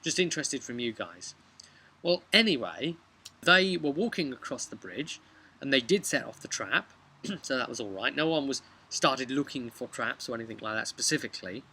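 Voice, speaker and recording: A man's voice: mid-range voice, lively intonation, normal speed, bright, forceful tone. A British man in his thirties casually recounting a tabletop game session in a rambling, conversational way, recorded outdoors. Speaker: vlog host and game master. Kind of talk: casual recap of a tabletop role-playing session. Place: outdoors on a bench by a brick house. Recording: outdoor recording with clear background noise.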